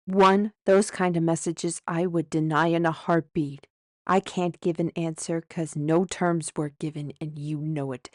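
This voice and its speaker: A woman's voice: on the low side, darkish tone, dry recording, studio quality, lightly acted. An actress playing a sarcastic, defiant young woman who stands up to a commander in an audio drama. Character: defiant, sardonic young woman, lamp wielder.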